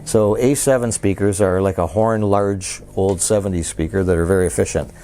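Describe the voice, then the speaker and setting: A man's voice: deep voice, steady tone, moderate pace, mellow, slight background noise. A man casually showing and explaining a custom-built preamp, walking through its switches and features with enthusiasm. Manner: enthusiastic, explains features while handling gear. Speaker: audio dealer presenting a product. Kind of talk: casual product demo.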